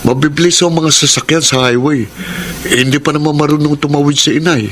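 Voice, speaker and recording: A man's voice: fairly high pitch, steady tone, brisk, a bit bright, slight background noise, lightly acted. A man playing a worried, polite grown son in a Filipino radio drama, speaking respectfully and anxiously. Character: worried, polite grown child searching for mother.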